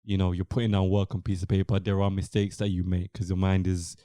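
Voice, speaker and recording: A young man with an English accent hosting a podcast, talking in a relaxed, rambling way with many fillers. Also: deep voice, fast talker, no echo, studio quality. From England. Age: young adult. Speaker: podcast host. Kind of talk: casual podcast chat.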